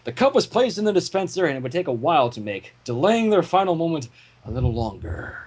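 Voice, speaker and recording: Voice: medium pitch, sing-song, fast, a little mellow, breathy edge. A narrator reading fan fiction aloud in a dramatic, theatrical storytelling manner. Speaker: narrator and reader.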